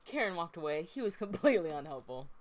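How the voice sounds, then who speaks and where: A woman's voice: fairly low pitch, big pitch swings, a little fast, a little mellow. A young woman doing playful let's play commentary and reading visual novel narration aloud, joking as she goes. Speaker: let's player, reader of game narration. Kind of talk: casual gaming commentary mixed with read narration.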